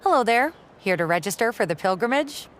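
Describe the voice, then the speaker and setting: An actress playing a polite but firm registration clerk in a video game, formal, courteous and by-the-book. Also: female voice, fairly high pitch, lively intonation, fairly quick, close to an everyday voice. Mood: welcoming.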